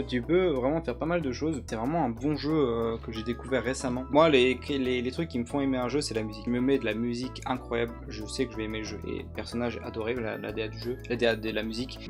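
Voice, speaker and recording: A man giving casual, joking and crude gameplay commentary, rambling and often laughing. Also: medium pitch. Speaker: gaming YouTuber. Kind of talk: casual let's-play commentary. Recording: game music playing under the voice. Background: game music.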